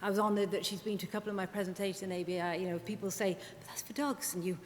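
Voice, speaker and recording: A woman speech and language therapist giving a chatty conference presentation, full of quick asides and self-deprecating remarks. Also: steady tone. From Wales. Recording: reverberant hall with faint rumble.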